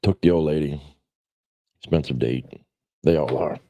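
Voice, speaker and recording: A man's voice: a bass voice, normal speed, dark tone, dry recording. A man in his forties chatting casually on a livestream, rambling in a blunt, self-deprecating, salty way. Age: 40s. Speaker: livestream host.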